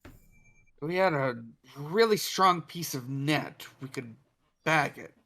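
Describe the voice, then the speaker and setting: A man playing a practical, gruff spaceship tinkerer in a sci-fi script table read. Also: on the high side, takes it slowly, sharp tone. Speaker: performer in a podcast table read.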